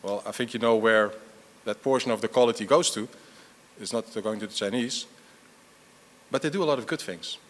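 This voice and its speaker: A man's voice: fairly low pitch, fairly quick, pauses often. Middle-aged man answering in a reverberant hall. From half Dutch, half Portuguese. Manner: rambling, many fillers and restarts. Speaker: conference speaker. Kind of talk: conference Q&A answer.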